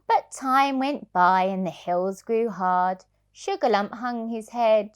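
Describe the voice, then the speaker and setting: A woman reading a children's storybook aloud as a childminder, in an expressive, sing-song way. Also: fairly high pitch, takes it slowly, clear, resonant tone.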